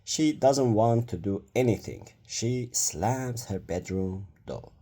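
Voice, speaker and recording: A man's voice: fairly low pitch, expressive, slow pace, mellow. A man reading a children's bedtime story aloud in a careful manner, stumbling now and then over words.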